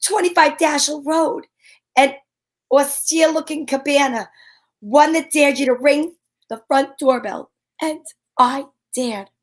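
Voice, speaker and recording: A sassy, self-assured lady detective, acted by a woman in an old-time radio mystery. Her voice sits high, and she delivers slangy, wry lines as both sleuth and narrator. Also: slow pace. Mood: wry narrating. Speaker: lead actress and narrator.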